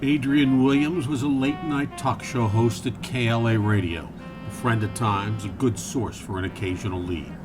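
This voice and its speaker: An actor playing a hard-boiled, world-weary private eye in a campy noir radio play, with an American accent and a deadpan, clipped manner. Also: male voice, medium pitch, moderate pace, close to an everyday voice, low-quality recording. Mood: wry narration. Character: hard-boiled, wry male private eye narrating his case. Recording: music behind the voice.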